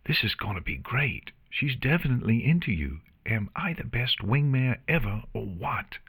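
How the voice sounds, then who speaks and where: A man's voice: medium pitch, very animated, moderate pace, fairly bright tone, breathy edge, voice pushed up, full character voice. A cheeky young pegasus mare played by a male narrator speaks in a raised, bouncy voice. She is a brash storybook paladin, sarcastic and always teasing.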